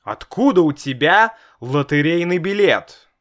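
A man voicing a nervous, hot-tempered father in a storybook reading, loud and exasperated. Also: on the high side, very animated, a little slow, pitched above the performer's own voice. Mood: agitated.

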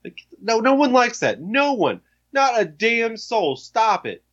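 Animated, swearing male co-host, dull sound. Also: very high voice, a little slow. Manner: animated, teasing, swears freely. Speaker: podcast co-host. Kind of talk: casual chat.

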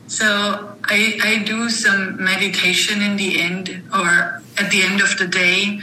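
Bright-voiced woman, slow and roomy. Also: medium pitch, slight background noise. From Germany. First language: German. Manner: thoughtful, halting, with restarts. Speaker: guest, author and mentor. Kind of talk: interview answers.

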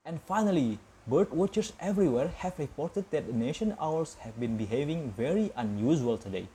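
A man's voice: fairly high pitch, big pitch swings, normal speed, mellow, slight background noise, rough recording. A man doing a polished evening newsreader. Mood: newsy. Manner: polished news-anchor delivery.

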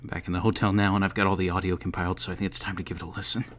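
Shady male snoop for audio drama: slightly deep, casual, muttering, sneaky. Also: level tone, racing, a bit bright. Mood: secretive, satisfied. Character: shady male investigator, sneaky, awkward when caught. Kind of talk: audio drama log entry.

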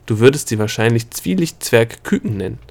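A wild little gnome with shifting scales, lecturing and cackling as he works himself into a rant, as a male narrator puts him on. His voice climbs high and loud when he gets excited. He is the guide of a storybook adventure. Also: a little fast, vocal fry. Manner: cackling, lecturing, worked up into a rage.

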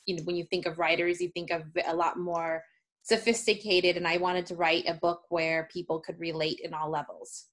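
A woman's voice: fairly low pitch, little pitch movement, moderate pace, a bit bright. A woman in her thirties with an American accent, speaking as a guest in a casual interview, telling things in a chatty, informal way. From United States (Seattle area).

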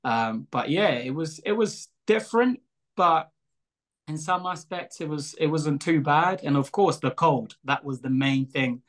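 A man's voice: on the high side, expressive, unhurried. A young man from Angola, whose first language is Portuguese, talking casually in an interview, rambling with lots of fillers and small laughs. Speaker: guest, show creator.

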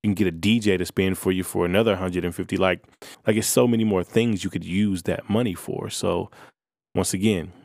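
Low, gravelly, monotone man. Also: a little fast, dry recording, clean studio sound. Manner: steady, matter-of-fact advice delivery. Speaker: podcast host. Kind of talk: casual advice podcast monologue.

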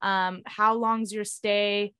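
A woman's voice: medium pitch, little pitch movement, slow, fairly bright tone, smooth, no echo, studio quality. A woman guest explaining how she ran room rentals, in a practical, casual way with many fillers. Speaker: guest, short-term rental host. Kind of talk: casual interview answers.

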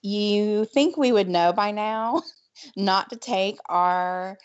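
A woman with a Southern US accent co-hosting a casual podcast, chatty and quick to laugh. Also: medium pitch, highs cut off. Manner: laughs easily, chatty with fillers. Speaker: podcast co-host. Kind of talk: casual chat.

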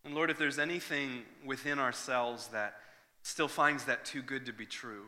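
A man's voice: mid-range voice, normal speed, pushed and bright. A man, preaching a sermon to his congregation, earnest and conversational, with frequent restarts. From Northern Ireland. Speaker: pastor. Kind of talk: sermon with prayer and personal story. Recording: long hall reverb.

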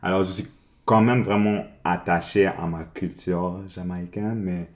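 A man from Montreal answers interview questions in a very low, deep voice. He speaks haltingly, circling back on his own words and switching into English mid-sentence. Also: fairly even intonation. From Montreal, Canada. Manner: halting, many restarts, slips into English. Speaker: guest. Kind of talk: casual interview.

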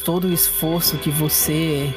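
A man's voice: fairly high pitch, level tone, a little slow, over music, natural voice. A man playing a firm cowboy from the future in a tabletop roleplay audio drama, emphatic and questioning. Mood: earnest. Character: Billy Boy, firm, objecting cowboy from the future.

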